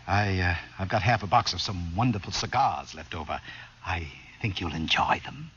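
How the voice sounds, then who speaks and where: An actor playing a courteous, resigned older overlord in a 1950s radio drama, making urbane, hesitant small talk. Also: male voice, sits low, expressive, moderate pace. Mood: genial, resigned. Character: genial, courteous doomed overlord, resigned older male ruler. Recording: dull, noisy vintage radio recording.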